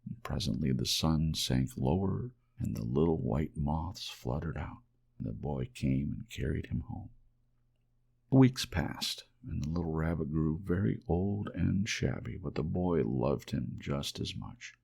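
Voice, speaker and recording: A man narrates a children's storybook as an ASMR audiobook. His voice is deep and husky, with a strong creak. He tells it gently, in hushed storytelling fashion. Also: lively intonation, measured pace.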